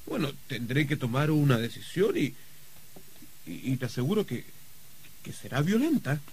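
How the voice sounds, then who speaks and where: An actor playing a pleading, idle young nephew in a radio drama, hesitant and wheedling. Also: male voice, mid-range voice, sing-song, moderate pace, a little mellow, breathy edge. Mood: pleading threat.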